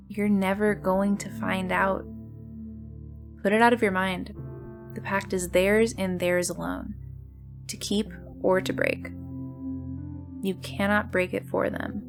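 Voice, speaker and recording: A woman playing a mysterious, tender host of an otherworldly late-night radio show, speaking in a measured, cryptic, intimate monologue. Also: mid-range voice, creaky. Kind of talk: scripted monologue in a fiction podcast styled as radio.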